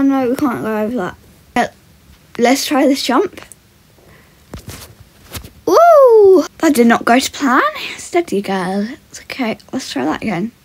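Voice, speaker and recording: A woman's voice: fairly high pitch, darkish tone, slightly rough. A young woman giving casual vlog commentary while riding, breaking off into short soothing commands and murmured praise to a horse. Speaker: horse rider in a voiced role-play video.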